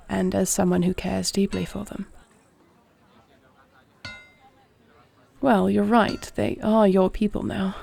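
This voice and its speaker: A woman playing a battle-weary, caring warrior princess in an intimate audio roleplay, speaking softly and earnestly in a measured way. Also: medium pitch, creaky. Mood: tender, grateful.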